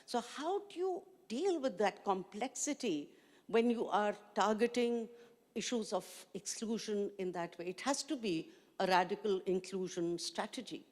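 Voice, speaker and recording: A woman's voice: medium pitch, animated, a little slow, darkish tone. Urdu-speaking woman on a conference stage. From Pakistan. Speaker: panelist. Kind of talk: panel discussion. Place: large conference hall stage. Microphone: handheld mic. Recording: long hall reverb.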